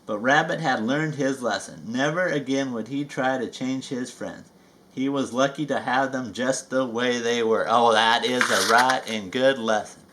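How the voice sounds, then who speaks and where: A man reading a children's story aloud with folksy, chatty asides and drawn-out emphasis. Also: medium pitch, fairly bright tone, rough recording. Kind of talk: animated read-aloud narration with chatty asides.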